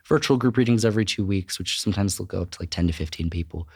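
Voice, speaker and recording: A man guest on a podcast, chatting easily about his work and dropping quick jokes. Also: low-pitched, husky, dry recording, clean studio sound. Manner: easygoing, quick jokes, lively intonation. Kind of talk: casual interview chat.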